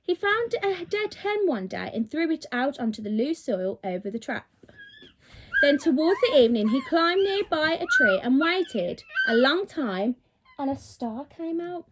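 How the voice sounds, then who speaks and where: A woman's voice: sits high, slight background noise. A woman reading a children's story aloud like a teacher to her pupils, expressively and with dramatic emphasis on key words.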